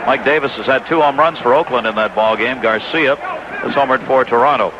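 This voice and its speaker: An American man calling live baseball play-by-play on the radio, filling in scores from other games between pitches. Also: a little fast. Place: stadium broadcast booth. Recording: dull, noisy 1980s AM radio broadcast. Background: loud ballpark ambience and noise.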